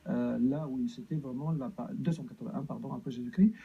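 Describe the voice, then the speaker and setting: A man's voice: mid-range voice, steady tone, a little fast, warm tone, breathy edge, highs cut off. A man from Egypt, a guest answering interview questions hesitantly, with many fillers and restarts.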